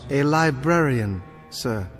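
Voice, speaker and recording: An actor playing an earnest, bookish librarian on trial in a radio drama, courteous and precise, with a defiant streak. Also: male voice, medium pitch, expressive, slow, music in the background. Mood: polite, puzzled. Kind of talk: dramatic radio play acting.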